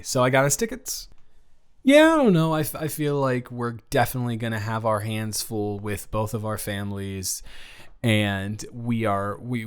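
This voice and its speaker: A man's voice: fairly low pitch, clean tone, close to an everyday voice. A young American man playing a chatty, awkward best friend in an improvised audio roleplay, talking casually and often interrupting himself. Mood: upbeat, chatty. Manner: rambling, halting, self-interrupting. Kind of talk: improvised boyfriend-style audio roleplay, casual one-sided chat.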